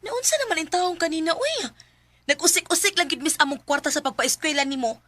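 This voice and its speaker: Sharp, high-pitched scolding mother. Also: lively intonation, a little fast. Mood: scornful, angry. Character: scolding, belittling, domineering mother. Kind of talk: Philippine radio drama acting.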